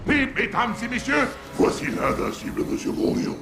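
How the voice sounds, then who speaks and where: A man's voice: very high voice, expressive. A man playing a wicked, theatrical puppet-master monster in a French cartoon, mocking and grandiose, gloating with menace. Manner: mocking, grandiose, drawn-out delivery. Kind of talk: animated cartoon villain acting, French dub. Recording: roomy echo, music underneath.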